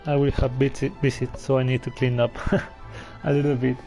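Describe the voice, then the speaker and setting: A man's voice: medium pitch, flat delivery, normal speed. A man who streams games, talking casually and reading dialogue aloud. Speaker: game streamer. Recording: muffled audio, game music behind.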